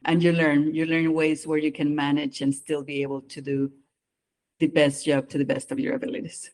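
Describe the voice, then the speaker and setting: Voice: medium pitch, level tone, normal speed, darkish tone. A psychologist guest with a mixed accent of their own, earnestly explaining their work and speaking openly about personal experience. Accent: mixed non-native English accent. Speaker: psychologist guest who does immigration evaluations. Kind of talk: interview conversation.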